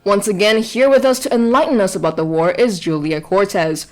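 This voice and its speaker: A woman's voice: medium pitch, brisk, close to an everyday voice. A woman playing a formal, inquisitive radio news anchor who shows concern, in an audio drama. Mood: formal, brisk. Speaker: news host. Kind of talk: acted news broadcast.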